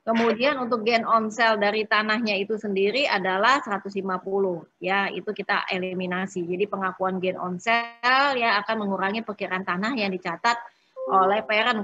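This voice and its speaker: A woman working through exercises as an accounting instructor in an informal step-by-step lecture, often pausing and adding short check-in tags. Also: mid-range voice, pushed and bright, husky, lo-fi sound.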